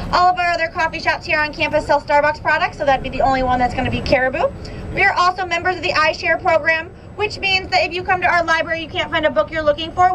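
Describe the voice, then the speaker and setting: A woman's voice: high voice, a bit bright. A young woman guiding a campus bus tour, upbeat and informative, with frequent "um" fillers. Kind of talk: guided tour commentary. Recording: noisy bus interior. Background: bus engine noise.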